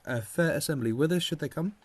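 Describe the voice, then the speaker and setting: A man playing a lovelorn, wry young gentleman in a Shakespearean audio drama, speaking in a declamatory way. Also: a little high, fast talker, husky. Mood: curious, declaiming.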